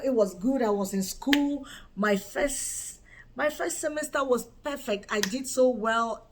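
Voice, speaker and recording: A woman from Cameroon, giving an earnest personal faith testimony and repeating words for emphasis. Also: mid-range voice. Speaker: channel host giving a testimony.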